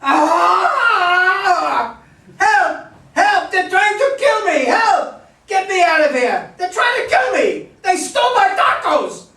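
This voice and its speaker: A woman acting a frantic, paranoid psych ward patient who yells repetitively in a staged play reading. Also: extremely high pitch, sing-song, slow, slight background noise, heavily acted. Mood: frantic panic. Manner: repetitive panicked yelling. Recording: echoey hall from far off.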